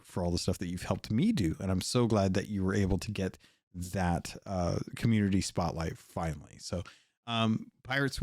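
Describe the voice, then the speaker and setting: Lowish hoarse guy hosting his podcast, croaky from a cold, rambly, dry close mic. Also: darkish tone, dry recording. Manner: rambling, self-correcting, thankful. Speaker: podcast host. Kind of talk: casual podcast monologue.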